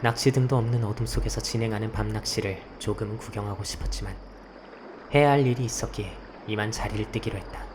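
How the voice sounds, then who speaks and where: Low-voiced, guarded young man acted by a man. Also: steady tone, quick pace, noisy background, lightly acted. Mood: subdued, pensive. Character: guarded young man investigating eerie rumors. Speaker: narrator and protagonist of a visual novel. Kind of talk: scripted first-person narration and dialogue. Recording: clear night insect ambience behind voice.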